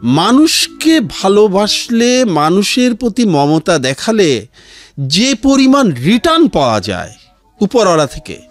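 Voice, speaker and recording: A man's voice: high-pitched, very animated, measured pace. A middle-aged man with a Bangladeshi accent, telling his life story and giving earnest advice as an interview guest. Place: radio studio interview. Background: music behind the voice.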